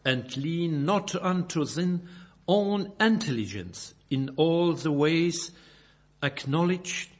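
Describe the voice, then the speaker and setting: A man from Belgium, a native French speaker with a Belgian French accent, preaching and reading scripture in halting English, searching for words and correcting himself. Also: on the high side, lively intonation. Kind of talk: informal Bible sermon with scripture reading.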